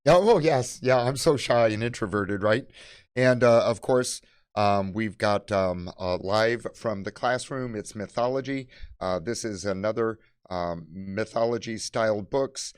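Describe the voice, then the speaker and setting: Give this presenter a lowish male voice with a halting, filler-strewn delivery. He talks off the cuff as he shows products. The sound is very dry, with no room to it. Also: clean tone, no room sound. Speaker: presenter of library program kits.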